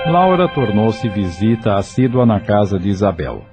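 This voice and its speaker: A man's voice: lowish voice, sing-song, normal speed, darkish tone, soft background music. A man narrating an audio novel in a dramatic, scripted storytelling style.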